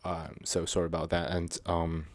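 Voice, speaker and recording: A man hosting his own channel, talking to viewers unscripted in a halting, hesitant way, full of fillers and restarts, earnest and sincere. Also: sits low, little pitch movement, no room sound. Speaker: channel host. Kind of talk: unscripted personal talk to viewers.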